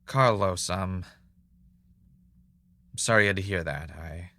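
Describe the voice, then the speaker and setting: A man acting as an anxious, self-doubting podcast host in an audio drama, talking in a halting, confessional way. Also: low voice, bright, forceful tone, no room sound. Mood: regretful, despairing. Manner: hesitant, self-questioning, halting.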